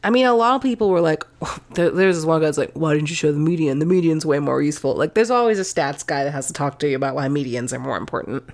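A woman with a fairly low voice co-hosts a casual political podcast. She talks sardonically and laughs often between points. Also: quick pace, a little mellow. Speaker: podcast co-host.

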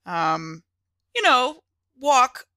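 Woman playing a bright, genteel, playful lady. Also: high voice, sing-song, drawn-out words, dry recording, studio quality, voice pushed up, full character voice. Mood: dreamy, theatrical. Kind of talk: improvised tabletop roleplay.

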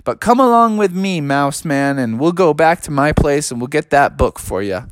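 A man's voice: a little high, expressive, normal speed, no room sound, clean studio sound, lightly acted. A man voicing a young, good-natured frog adventurer, nervous and eager, with an earnest, hesitant way of talking, for a children's story. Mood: friendly.

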